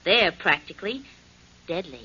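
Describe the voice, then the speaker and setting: An actress playing a detective's girlfriend in a 1940s radio drama, light and gently teasing. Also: on the low side, animated, moderate pace, breathy edge, exaggerated voice. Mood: playful. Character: detective's girlfriend, warm and playful. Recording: dull lo-fi 1940s radio broadcast.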